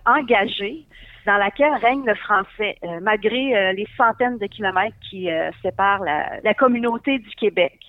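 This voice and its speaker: A woman from northern Ontario answers interview questions as a tourism advisor, pausing often with hesitant fillers. Her voice sits at a middling pitch. The sound is tinny and narrow, like a phone line. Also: no room sound. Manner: hesitant, many "euh" fillers. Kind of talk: remote interview.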